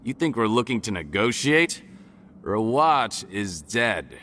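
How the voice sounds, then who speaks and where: An actor playing a smug, ruthless fugitive crime boss in a video game, with a mocking, theatrical sneer. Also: male voice, lowish voice, very animated, slow, fairly bright tone, slight background noise, full character voice. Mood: smug, menacing. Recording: game music behind the voice.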